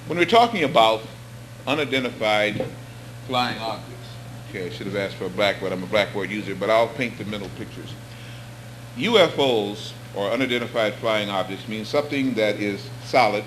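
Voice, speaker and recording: A man's voice: lowish voice, normal speed, fairly bright tone, a little hiss or hum. A middle-aged man giving a public lecture at a podium in a hall, talking conversationally and rising to emphatic points. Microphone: stand mics on stage.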